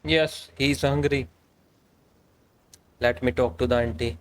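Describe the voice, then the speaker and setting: Guy streaming a game, normal pitch, just chatting with viewers casually. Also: fairly even intonation, slow. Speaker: game livestreamer.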